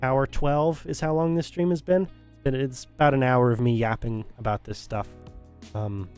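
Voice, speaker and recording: A man's voice: medium pitch, fairly even intonation, normal speed, darkish tone, clear, resonant tone, faint music behind. A male streamer talking casually to his viewers, rambling with many restarts and fillers, his voice strained and still recovering. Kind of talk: casual stream sign-off talk.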